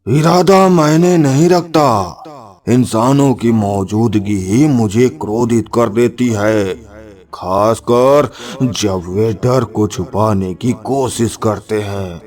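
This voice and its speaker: A man voicing a huge, angry forest demon in a slow, threatening manner for a cartoon story. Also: fairly low pitch, a little mellow. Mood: menacing anger. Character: huge menacing male forest demon, easily angered.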